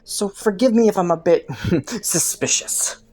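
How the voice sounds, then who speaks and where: A woman's voice: medium pitch, a little slow, husky. A woman playing a flirty, taunting underworld criminal in a roleplay audio, with a playful, teasing drawl. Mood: flirty, sly. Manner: playful, teasing drawl with frequent soft chuckles.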